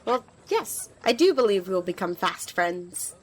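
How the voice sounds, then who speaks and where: Over faint background noise, a woman plays an eager fantasy squire in an audio roleplay. The squire speaks at a medium pitch, earnest and reassuring. The delivery is chatty and a little hesitant, as if talking straight to the listener. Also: big pitch swings, measured pace. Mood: friendly, reassuring. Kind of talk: ASMR roleplay addressed to the listener.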